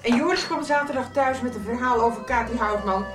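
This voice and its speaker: A woman's voice: fairly high pitch, brisk, lightly acted. An actress playing a gossipy, disapproving wife and mother with a judgmental manner in a radio soap. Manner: animated, judgmental. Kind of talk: 1990s Dutch radio soap acting. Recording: roomy echo, music behind.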